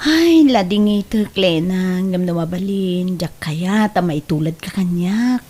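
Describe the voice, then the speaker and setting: A woman playing a concerned, candid young friend in an Ilocano radio drama, expressive and admonishing. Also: fairly low pitch, a little slow. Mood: surprised, probing.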